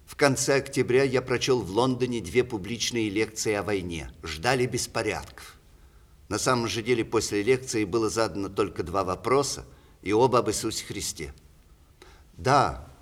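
A man's voice: lowish voice, lively intonation, moderate pace. An actor playing a witty, opinionated ageing playwright reading out his letters in declamatory, ironic 1960s Russian radio theatre style. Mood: wry, reflective.

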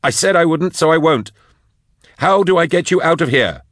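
Man doing a pleading, high-strung young companion. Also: lively intonation, normal speed, a bit bright, no room sound, clean studio sound. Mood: urgent.

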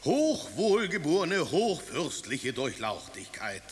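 An actor playing a servile, scheming courtier who speaks slowly and floridly in a radio drama. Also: male voice, fairly high pitch, big pitch swings, slight background noise. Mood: unctuous. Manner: slow, flowery and servile. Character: fawning, scheming male leader of the seven sages.

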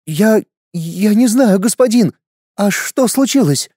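A man's voice: high voice, lively intonation, slow, mellow, no room sound, clean studio sound, voice pushed up, heavily acted. Man putting on a terrified pleading village mother. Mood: frightened. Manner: scared, pleading, breathless. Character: frightened village mother, woman, timid, pleading.

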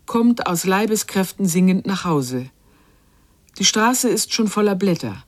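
An actress playing a quiet narrator in a literary radio drama, measured and lyrical in her delivery. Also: mid-range voice, animated. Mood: reflective.

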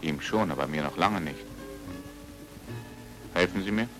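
A blunt writer on the hunt for answers in a radio drama. His low voice is forceful and clear-edged, pressing on in short, insistent lines. Faint music lies underneath. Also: measured pace, poor audio. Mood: doubtful. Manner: direct, terse, insistent. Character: blunt, stubborn foreign pulp novelist investigating a friend's death.

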